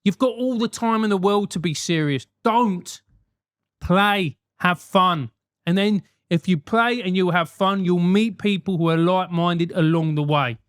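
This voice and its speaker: South London male host, animated advice rant, loose and profane, dry close mic. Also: sits high, measured pace, clean tone, dry recording. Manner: animated, emphatic, swears freely.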